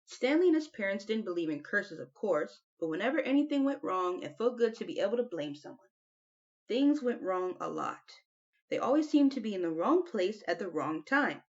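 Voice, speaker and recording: A woman's voice: medium pitch, very animated, normal speed, lo-fi sound. A woman reading a children's novel aloud as an audiobook narrator. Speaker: audiobook reader.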